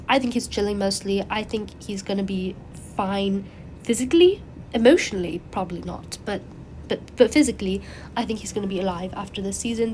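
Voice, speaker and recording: A woman with a cold, her voice raspy and congested, chatting casually and rambling animatedly as the host of her own channel. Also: medium pitch, slight background noise, rough recording. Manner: rambling, animated, frequent fillers and restarts.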